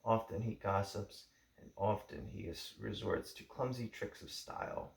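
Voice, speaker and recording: Low, creaky-voiced man reading aloud. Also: fairly even intonation, normal speed. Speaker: amateur audiobook reader. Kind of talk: book introduction read aloud. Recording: roomy, echoing home recording.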